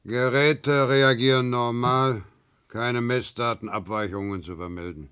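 A man's voice: medium pitch, fairly even intonation, a little slow, a bit bright, clear, resonant tone, close to an everyday voice. Man acting a dutiful, regimented dystopian worker. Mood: obedient, formal. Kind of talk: 1970s German science-fiction radio play acting. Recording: noisy old radio drama recording.

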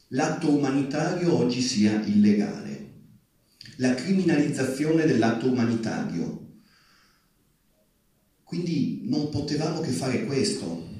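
Slow-speaking man giving an earnest echoey speech. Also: medium pitch, darkish tone. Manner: earnest, emphatic, speaking in short repeated phrases. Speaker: activist speaker. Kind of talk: public speech from a stage. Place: live theatre stage. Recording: echoey hall, distant microphone.

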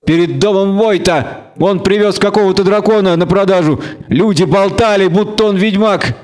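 A mean, stocky thug acted by a male reader with a raised pitch and rough, rustic way of talking. He sounds suspicious and menacing, a henchman from a fantasy audiobook. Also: moderate pace, a little mellow, natural voice. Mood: suspicious, excited. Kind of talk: audiobook character acting.

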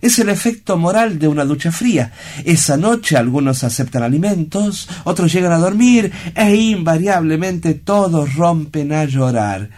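A man plays an earnest sanatorium director in a radio drama. His slightly high voice is solemn and eloquent, and he declaims like a lyrical storyteller. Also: animated, moderate pace, darkish tone. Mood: earnest, explanatory. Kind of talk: theatrical radio play acting.

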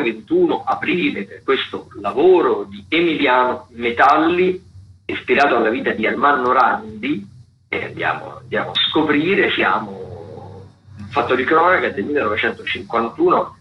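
A man's voice: medium pitch, very animated, moderate pace. A man presenting theatre listings on radio in a semi-improvised, stop-and-start way, often repeating words. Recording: muffled, noisy community radio broadcast.

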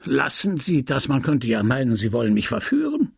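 A man's voice: medium pitch, huge pitch swings, normal speed, creaky, slightly rough, no echo, clean studio sound, heavily acted. A man voicing a sly, merciless merchant and moneylender in an audiobook novel, with a sarcastic, smug, lecturing manner. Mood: mocking. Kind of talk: dramatised audiobook reading of a literary novel.

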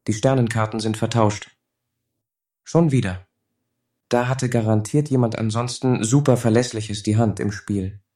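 A man's voice: lowish voice, fairly even intonation, a little slow, mellow, lightly acted. A man playing a sarcastic, suspicious spaceship crew member in a satirical radio play. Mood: sarcastic suspicion.

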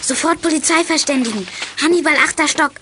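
A woman's voice: high-pitched, fast talker, noisy background, close to an everyday voice. A woman voicing a cheeky, bossy young boy detective in a German children's radio play, teasing and commanding. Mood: urgent.

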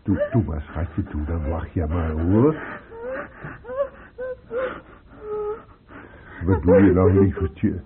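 A male actor playing a tender, protective middle-aged father in a radio drama, coaxing haltingly and repeating his phrases. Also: sits low, a loud crowd. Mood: soothing, emotional. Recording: muffled, noisy old radio play recording.

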